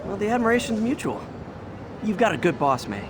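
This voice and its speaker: Peter Parker, an earnest young hero. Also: male voice, high voice, very animated, noisy background. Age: young adult. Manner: quick, flustered, self-talking.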